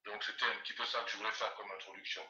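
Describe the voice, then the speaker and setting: An older man from Congo, speaking slowly and haltingly as a phone interview guest. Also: on the low side, little pitch movement, very noisy. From Congo (DRC). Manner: slow, halting, with frequent fillers. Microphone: phone call. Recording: distant, roomy, dull phone-like sound.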